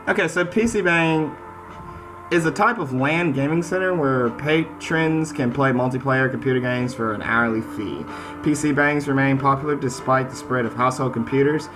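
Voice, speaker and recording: A man hosting a gaming video, chatting casually and reading game text aloud. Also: mid-range voice. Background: game soundtrack music.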